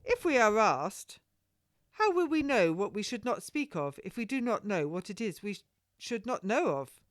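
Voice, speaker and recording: A woman's voice: medium pitch, sing-song, moderate pace, dry recording, studio quality, pitched above the performer's own voice, close to an everyday voice. Woman acting a haughty period householder. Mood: firm. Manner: indignant, formal period speech. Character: haughty, sharp-tongued female householder of olden times.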